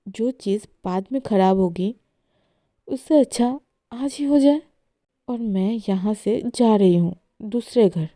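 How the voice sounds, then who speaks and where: A woman voicing an angry young woman breaking off a relationship, loud and heated. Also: medium pitch, slow pace, dry recording, studio quality, lightly acted.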